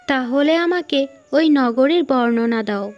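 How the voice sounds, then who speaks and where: A woman voicing a young cartoon princess who is proud, demanding and quick-tempered. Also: sits high, animated, dull audio.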